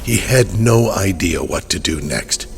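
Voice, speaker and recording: A man's voice: low-pitched, lively intonation, a little slow, soft background music. A man narrating a horror audio drama in a scripted storytelling style.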